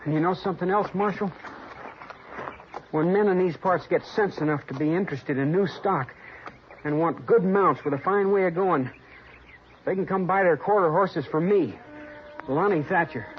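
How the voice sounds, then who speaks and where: A man's voice: high voice, normal speed, long pauses, close to an everyday voice. An actor playing a proud, determined American farm boy in an old radio western. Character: proud, stubborn farm boy, determined young horse breeder. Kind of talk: 1950s radio western acting. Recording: muffled old radio recording, faint noise.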